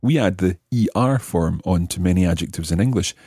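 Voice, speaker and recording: A man hosts a language lesson in a low, very mellow voice with a husky grain. He explains grammar methodically, enunciating each phrase and translating it. The sound is dry and intimate. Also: a little fast, no echo. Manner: didactic, carefully enunciates and translates. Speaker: language teacher, podcast host.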